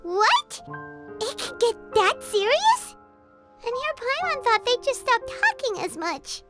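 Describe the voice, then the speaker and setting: Small childlike fairy, very high squeaky voice, animated intonation, earnest, excitable, video game companion, music behind. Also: female voice, slow pace, heavily acted. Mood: alarmed. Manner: refers to herself in third person, expressive.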